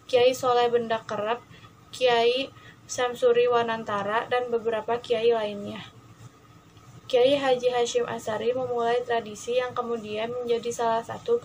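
Young woman student reading a class text aloud, level and even, slightly high voice. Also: a little hiss or hum, poor audio.

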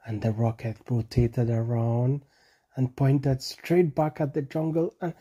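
A father improvises a bedtime story in a very dark, mellow, husky voice. He uses simple, repeated phrases and puts questions to his young listener. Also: mid-range voice, flat delivery, measured pace. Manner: simple repetitive phrasing, prompts the child with questions.

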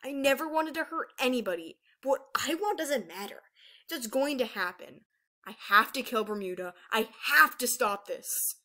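A woman's voice: a little high, expressive, normal speed, pushed and bright. A woman voicing an anguished young female ice dragon in a fantasy story, impassioned and dramatic. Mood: desperate, tormented.

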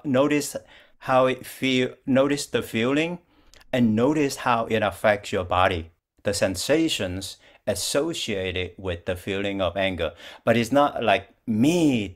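An older man from Vietnam, a Buddhist monk, calmly giving a dharma talk over a video call, speaking slowly and patiently with frequent restarts. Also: on the low side. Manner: calm, slow and patient, with restarts. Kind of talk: dharma talk answering questions. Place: plain-walled room at home.

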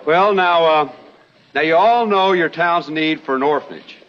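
Actor as folksy, higher-voiced young western con man. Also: normal speed, natural voice. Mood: sheepish, stalling. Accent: American. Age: young adult. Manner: hesitant, folksy, stalling. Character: smooth-talking young Old West con man, sheepishly genial. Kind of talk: 1960s television western acting. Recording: dull old TV film soundtrack, faint noise.

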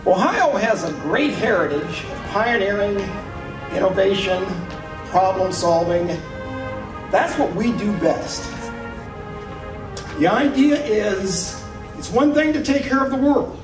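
A man's voice: sits high, animated, slow, pauses often, over music. A man giving an emphatic, preacher-like congratulatory speech at a podium in an auditorium. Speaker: ceremony speaker. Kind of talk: inspirational speech. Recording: echoey hall, distant mic.

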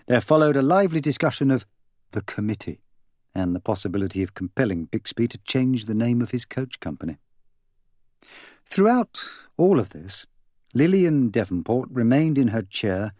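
A man narrates a mystery novel in a fairly low voice. His reading is measured and expressive, in a polished literary style. The sound is close and very dry, with no room on it. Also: no room sound. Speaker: audiobook narrator.

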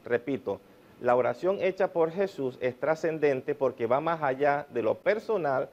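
A man in his forties preaching a sermon while seated at a desk, measured and emphatic, repeating key phrases. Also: fairly high pitch, expressive, darkish tone. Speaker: pastor. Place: small church stage with painted mural, indoors. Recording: slightly dull lo-fi sound.

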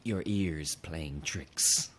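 A man's voice: a bass voice, drawn-out words, heavy background noise, exaggerated voice. An actor playing a sly, manipulative man who feigns sickness, wheedling and goading in a horror audio drama. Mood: pained. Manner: wheedling, swinging between groans and goading.

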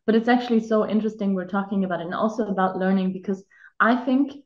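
A woman's voice: medium pitch, fairly even intonation, fast talker. A woman in her thirties, a native German speaker, chatting reflectively as an actress and life coach over a video call. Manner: reflective, chatty, many filler "like"s. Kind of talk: casual video-call interview. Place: home room with bare wall. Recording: roomy lo-fi video-call audio.

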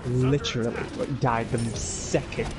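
A man's voice: mid-range voice, fairly even intonation, a little slow. A young man playing a video game with a friend, sarcastic and sweary, groaning in mock despair. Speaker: co-player. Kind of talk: casual gaming chat. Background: loud game music.

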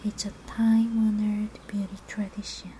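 A woman whispering softly and breathily close to the mic in a gentle, soothing ASMR spa roleplay. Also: mid-range voice, flat delivery, rough recording. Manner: gentle, soothing, unhurried instructions. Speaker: ASMR artist playing a spa therapist. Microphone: close microphone. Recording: faint background noise, ambient sound.

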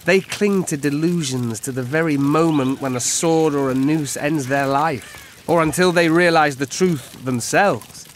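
An actor voicing an old elf forest scout in a video game, grave and weary, speaking in measured, formal phrases. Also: male voice, on the high side, close to an everyday voice. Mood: bitter, resigned. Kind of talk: video game dialogue acting. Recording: clear ambient noise behind.

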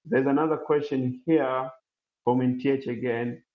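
A man from Tanzania whose first language is Swahili, giving an online presentation and answering questions, halting with many fillers and restarts. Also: mid-range voice, steady tone. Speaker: webinar presenter, incubator CEO. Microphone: laptop mic on a video call. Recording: roomy, dull webinar audio.

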